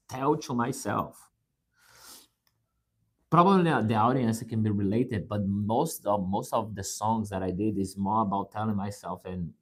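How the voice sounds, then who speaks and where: A man's voice: low-pitched, breathy edge. A man from Brazil speaking English with a Brazilian Portuguese accent, giving an earnest motivational talk on a live stream and often asking "right?". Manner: earnest, repeats words and restarts, asks "right?".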